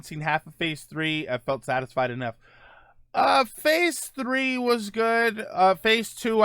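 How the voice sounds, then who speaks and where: A man's voice: on the high side, fairly bright tone, clean tone, dry recording, clean studio sound. A man hosting a casual live-stream show, answering viewer comments in a loose, rambling way with lots of fillers. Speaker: livestream show host.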